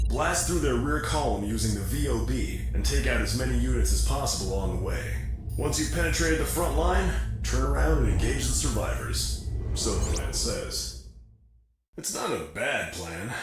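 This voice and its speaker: A man playing a blunt, casual mercenary broker who gives brisk, businesslike mission briefings in a video game. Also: medium pitch, lightly acted. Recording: heavy echo, loud music behind. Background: loud music.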